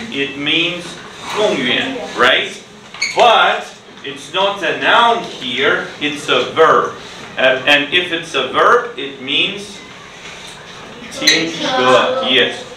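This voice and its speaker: A middle-aged man teaching English to children, speaking deliberately in simple phrases and repeated questions. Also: fairly high pitch, animated. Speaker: ESL teacher. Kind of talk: interactive classroom teaching to children. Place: school classroom, indoors. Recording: roomy, distant classroom recording with clear noise.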